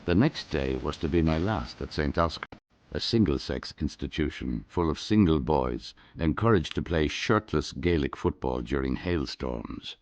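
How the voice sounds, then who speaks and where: A man's voice: extremely low pitch, lively intonation, moderate pace. A middle-aged Irish man narrating his own story in a wry, rueful, lyrical way for a radio drama. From Ireland. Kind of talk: scripted first-person radio narration.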